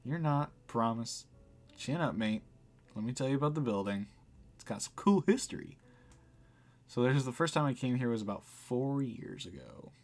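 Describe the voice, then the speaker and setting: A man's voice: medium pitch, animated, moderate pace, natural voice. A man voicing a laid-back, wry and reassuring cafe barista in a visual novel. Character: laid-back, dry, kindly cafe barista guiding the dead.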